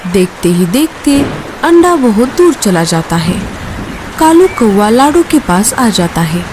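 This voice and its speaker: A woman's voice: medium pitch, sing-song, measured pace. A woman narrating a scripted children's story as a storyteller. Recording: clear rain noise behind voice.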